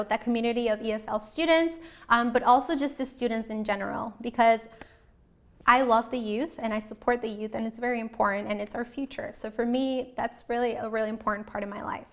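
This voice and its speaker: A young woman from the US Midwest, born in Colombia, hosting a show and talking informally and earnestly to viewers about herself, often rephrasing as she goes. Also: on the high side. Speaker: show host.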